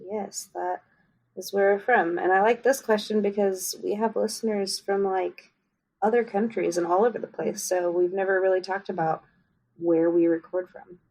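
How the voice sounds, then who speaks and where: A woman from Kansas co-hosting a book podcast, chatting casually with frequent pauses and ums. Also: medium pitch, vocal fry, poor audio. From Kansas, USA. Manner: relaxed, unhurried with frequent ums. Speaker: podcast co-host. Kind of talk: casual chat.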